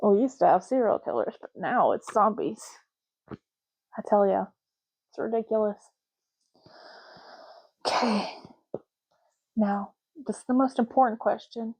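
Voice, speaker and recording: A woman with a dark, relaxed voice performs a soft-spoken ASMR roleplay. She murmurs in short, unhurried phrases at an ordinary female pitch, sounding like a chatty, matter-of-fact clerk. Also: husky, poor audio. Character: chatty matter-of-fact official issuing zombie ID cards.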